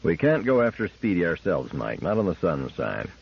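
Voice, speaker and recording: A man's voice: sits low, big pitch swings, normal speed, gravelly, slightly rough, muffled recording, exaggerated voice. A man voicing a level-headed male engineer and team leader in a story reading, terse and reasoning. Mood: measured, reasoning.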